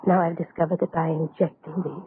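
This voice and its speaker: An anguished woman doctor in an old radio horror play. Her delivery is halting and solemn, with a gravelly creak, on a thin, phone-like recording with some hiss. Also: low-pitched, level tone. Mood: solemn, confiding. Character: anguished woman doctor, a scientist afraid of her own experiment. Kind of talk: 1930s radio horror acting.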